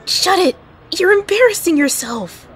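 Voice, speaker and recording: A woman's voice: very high-pitched, lively intonation, measured pace, fairly bright tone, heavily acted. A woman playing a reserved, easily flustered young inspector in an anime-style fan audio drama, polite but terse and dry. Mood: curt, irritated.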